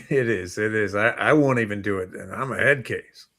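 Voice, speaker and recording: A man's voice: lowish voice, moderate pace, a bit bright, dry recording, studio quality. A man co-hosting a talk show in a casual, conversational style. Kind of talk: casual chat.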